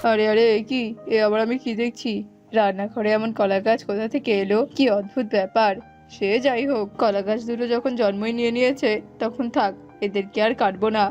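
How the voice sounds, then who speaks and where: A woman's voice: a little high, fairly even intonation, brisk, a little mellow, close to an everyday voice. A woman voicing a poor, sorrowful, tender jackal father with a plaintive, exclamatory cartoon delivery. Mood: earnest. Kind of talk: cartoon voice acting. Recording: muffled, music underneath.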